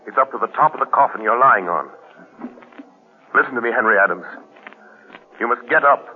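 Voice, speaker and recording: Male actor as a stern, commanding vigilante hero for a vintage radio drama, mid-pitched voice, tinny scratchy broadcast sound. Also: expressive, brisk, natural voice. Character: grim, commanding male crime-fighting vigilante. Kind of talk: 1940s radio acting.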